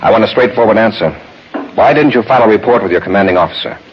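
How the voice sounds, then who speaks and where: An actor playing a stern, authoritative space patrol commander in a 1950s radio drama. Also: male voice, lowish voice, rapid-fire, close to an everyday voice. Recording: dull noisy 1950s radio recording.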